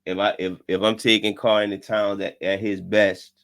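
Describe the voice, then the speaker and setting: Relaxed male co-host, low voice, loose and repetitive, keeps the debate moving. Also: brisk. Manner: relaxed, repeats words, steers the topics. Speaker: podcast co-host. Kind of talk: casual sports debate chat.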